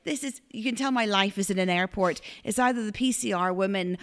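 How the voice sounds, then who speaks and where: A woman with a big, loud voice, co-hosting a podcast and telling lively stories. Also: medium pitch, fairly quick, clean tone. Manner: big loud voice, animated storyteller. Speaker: podcast co-host. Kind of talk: casual storytelling chat.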